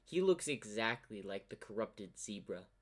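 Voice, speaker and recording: A man narrating a fan-fiction story in an improvised style, halting and pausing mid-sentence. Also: fairly low pitch, very animated.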